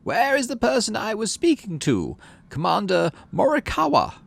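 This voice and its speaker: Guy doing a prim older woman governor, way up high, firm and measured, sci-fi game, soft music behind. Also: huge pitch swings, gravelly, exaggerated voice. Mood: firm, challenging.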